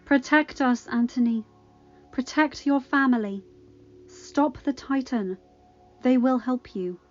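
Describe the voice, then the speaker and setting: A woman's voice: high voice, slow, muffled recording, faint music behind, pitched above the performer's own voice. A woman voicing solemn, commanding unseen spirit voices in a fan fiction story reading, urging with gravity. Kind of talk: dramatised fan fiction narration.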